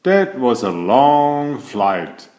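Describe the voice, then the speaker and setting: Easygoing storybook tomcat musician , male reader. Upbeat, swooping pitch, slow pace, roomy echo. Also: very animated, a little mellow. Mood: tired, relieved. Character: Pete, easygoing, enthusiastic male cartoon cat musician.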